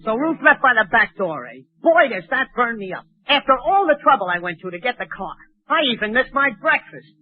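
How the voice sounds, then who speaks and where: An actor playing a breezy, complaining teenage schoolboy with exaggerated boyish delivery in a 1940s radio comedy. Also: male voice, very high voice, sing-song, a little fast, heavily acted. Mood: griping. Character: breezy, griping, high-voiced teenage schoolboy. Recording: tinny, band-limited 1940s radio recording.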